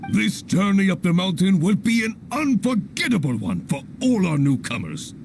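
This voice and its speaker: An actor playing a hearty, pompous, long-winded leader of adventurers in an anime-style video game, speaking in grand theatrical speeches. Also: male voice, high voice, sing-song, normal speed, creaky, darkish tone, soft background music, heavily acted. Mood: grandly inspired.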